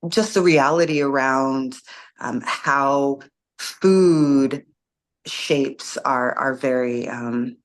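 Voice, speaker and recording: Reflective woman with many pauses. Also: very deep voice. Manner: thoughtful, many ums and restarts. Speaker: guest, community organizer and facilitator. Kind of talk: casual interview conversation.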